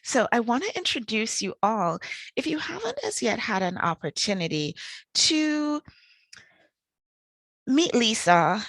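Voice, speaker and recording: Effusive Trinidadian woman, lively pitch. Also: no echo. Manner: effusive, enthusiastic. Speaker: host. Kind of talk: online networking call. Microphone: video call.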